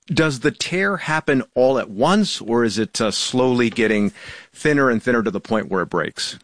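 A man's voice: medium pitch, expressive, normal speed, fairly bright tone, no room sound, studio quality. A man hosting a radio news interview, putting questions to a guest.

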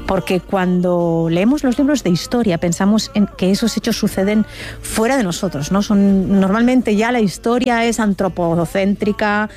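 A woman with an accent from Spain presenting a conversational radio interview in an effusive, expressive way. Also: mid-range voice, normal speed, faint music behind. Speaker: radio show host.

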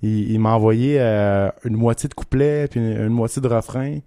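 A young man with a Québécois French accent, a country singer telling about his songs in a relaxed, halting radio chat with many restarts. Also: mid-range voice, smooth, no echo, studio quality. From Quebec. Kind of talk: casual radio interview.

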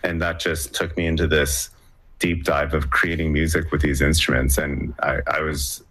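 A man's voice: rumbling low, little pitch movement, normal speed, fairly bright tone, husky. A young man from Hong Kong, a musician, talking casually in an interview with many fillers and restarts. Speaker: musician guest.

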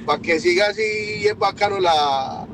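A man with a Colombian Paisa accent calling a radio show, effusive and slangy, full of flattery for the host. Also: fairly high pitch, moderate pace. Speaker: radio caller. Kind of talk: friendly call-in chat. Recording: noisy line with traffic behind.

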